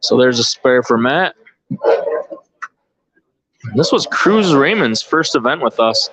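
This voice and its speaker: A man's voice: mid-range voice, expressive, slow pace, a crowd in the background. A man giving casual, off-the-cuff live sports commentary, informally thinking aloud as he follows the play. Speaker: bowling tournament commentator and organizer. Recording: dull, lo-fi live stream audio.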